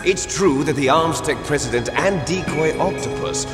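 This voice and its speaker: A man's voice: on the high side, moderate pace, natural voice. Male actor as gloating evil twin, theatrical, taunting, dramatic video game style, echoey reverb, music behind. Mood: smug, explaining. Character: bitter, gloating villain twin brother with grand ambitions. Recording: echoey hall reverb under loud music.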